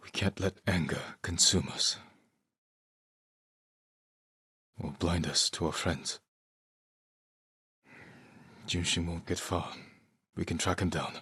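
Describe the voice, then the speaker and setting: A determined samurai warrior from a video game, speaks in a low, grave voice with a faintly rough grain. The delivery is solemn, measured and terse, recorded close and dry. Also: male voice, fairly even intonation, fairly bright tone, dry recording, full character voice. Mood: grave, focused. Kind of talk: dramatic game character dialogue.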